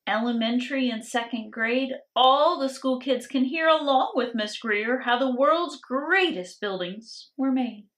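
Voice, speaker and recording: A woman with a very high voice reads to young children as their teacher. The delivery is slow and emphatic, shaped like a theatrical storybook read-aloud. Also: a bit bright.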